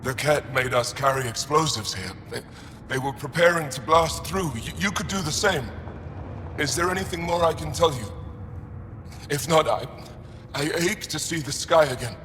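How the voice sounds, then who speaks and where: An actor playing a weary, grateful alien man freed from captivity, speaking haltingly and earnestly in a video game. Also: fairly high pitch, a bit bright, natural voice. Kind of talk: video game dialogue acting. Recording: long reverb, faint background noise.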